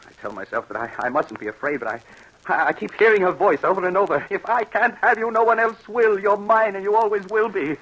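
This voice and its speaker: A man's voice: high-pitched, a little fast, a bit bright, rough recording. An actor playing a haunted, fearful man in an old radio drama, melodramatic and intense, stammering under strain. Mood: desperate, fearful. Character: haunted, fearful man tormented by a ghostly cat-woman. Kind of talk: 1940s radio drama acting. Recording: hissy old radio broadcast.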